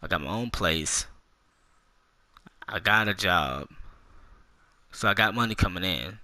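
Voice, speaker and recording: A man's voice: low-pitched, lively intonation, measured pace, sharp tone, no room sound. A man in his twenties ranting casually and with a lot of swearing, talking straight to young viewers as a gaming YouTuber. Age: 20s. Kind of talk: casual storytime rant.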